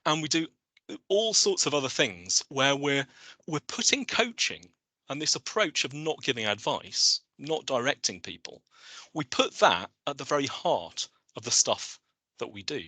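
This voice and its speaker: A man's voice: mid-range voice, moderate pace, no echo. A man hosting an online coaching webinar, talking with enthusiasm and often restarting his phrases. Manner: enthusiastic, animated, with frequent restarts. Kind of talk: presenting a webinar with slides. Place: online video call.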